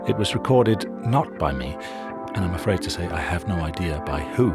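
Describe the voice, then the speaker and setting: A man hosting a sound documentary, narrating a scripted introduction in a measured, wry storytelling manner. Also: sits low, lively intonation. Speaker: show host and narrator. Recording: narration over loud music.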